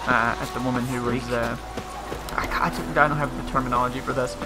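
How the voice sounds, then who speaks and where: A man's voice: mid-range voice, steady tone, a little fast. A man giving casual, rambling let's-play commentary and often interrupting himself. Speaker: gaming video host. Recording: game music behind the voice. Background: video game music and sounds.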